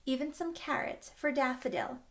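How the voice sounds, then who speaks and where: A woman's voice: high voice, very animated, moderate pace, fairly bright tone. A woman voicing an eager, caring young girl in a storybook, earnest and emphatic. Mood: cheerful.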